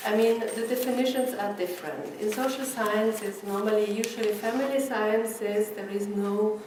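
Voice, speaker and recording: Echoey, distant Austrian woman lecturing. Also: medium pitch. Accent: Austrian German. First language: German. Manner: halting, searching for words. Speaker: academic researcher, speaker. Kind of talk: conference lecture in non-native English. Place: presentation room with an audience. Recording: echoey room, distant mic, noisy background.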